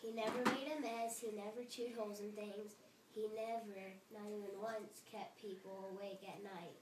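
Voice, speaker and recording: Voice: mid-range voice, level tone, normal speed, echoey room, far from the mic, background noise. A young reader reading a children's bedtime story aloud.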